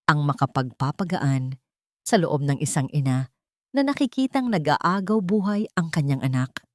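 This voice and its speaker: Low-voiced woman narrating drama. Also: darkish tone, dry recording, studio quality. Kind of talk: dramatic scripted story narration.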